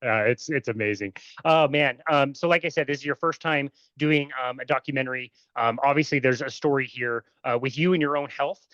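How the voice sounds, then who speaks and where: A man's voice: mid-range voice, fairly quick, few pauses, fairly bright tone, dry recording, dull audio. A man hosting a podcast interview, enthusiastic and full of praise for his guest. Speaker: podcast host.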